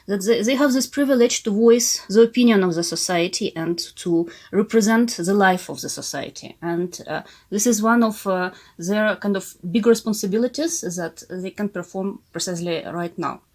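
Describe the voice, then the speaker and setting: A young Ukrainian woman with a Ukrainian accent is interviewed over a remote call. She is a native Russian speaker and searches for words, with many "uh" fillers and restarts. Her voice sits at a middle pitch. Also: normal speed, hardly any pauses, a little mellow. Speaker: guest, art historian and curator.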